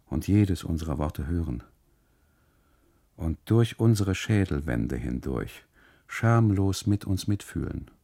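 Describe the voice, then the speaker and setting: A man narrating a literary radio monologue in the first person, deliberately, in short phrases broken by pauses. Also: low-pitched, vocal fry, dark tone.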